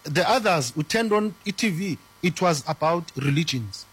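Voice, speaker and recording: A man's voice: a little high, animated, normal speed, a bit bright, slightly rough. A man in his thirties, explaining spiritual ideas in an earnest teaching manner, with many rhetorical questions. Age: 30s. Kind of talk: conversational teaching.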